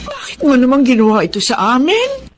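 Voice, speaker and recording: A distraught, disapproving mother in a melodrama. Her high voice swoops widely, over clear noise. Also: wildly swooping pitch, fairly quick. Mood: hurt, upset. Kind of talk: Filipino radio drama melodrama.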